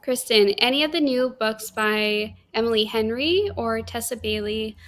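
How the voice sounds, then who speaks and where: A woman's voice: a little high, moderate pace, fairly bright tone. A woman hosting a casual, conversational chat in an informal livestream style. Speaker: host of a reading livestream.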